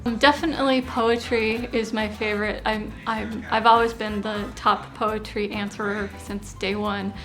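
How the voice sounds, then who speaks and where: A woman from Colorado answering interview questions, halting and repeating words. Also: medium pitch, little pitch movement, a bit bright, soft background music, rough recording. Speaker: site volunteer.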